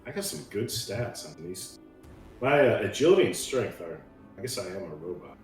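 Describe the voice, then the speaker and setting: A man's voice: fairly low pitch, sing-song, normal speed. A man playing a tabletop roleplaying game, chatting casually and thinking through his moves aloud. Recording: roomy echo, faint music behind. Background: faint background music.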